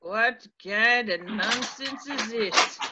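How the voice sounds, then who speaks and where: A woman's voice: on the high side, takes it slowly, very noisy. A woman playing a crotchety middle-aged landlady in a stage play table read, scolding in folksy old-fashioned dialect. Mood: contemptuous. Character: crotchety, menacing, coy middle-aged Irish-American landlady. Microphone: video call. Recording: dull video-call audio.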